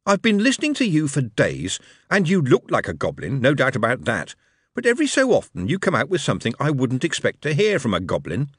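A man's voice: mid-range voice, wildly swooping pitch, brisk, husky, no echo, studio quality, pitched above the performer's own voice. A man doing a blunt wry young man. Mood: candid.

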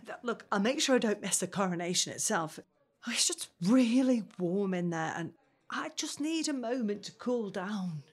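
A young wife , played by an actress, speaking at a medium pitch. She is fond and practical, teasing and chiding gently in a homely period radio play. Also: animated, moderate pace, slightly rough. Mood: reassuring. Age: young adult. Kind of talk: audio drama acting.

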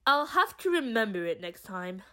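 A woman's voice: mid-range voice, very animated, moderate pace, fairly bright tone. A woman playing a brash, sardonic female troublemaker in an audio drama, with a flippant way of talking. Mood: sheepish.

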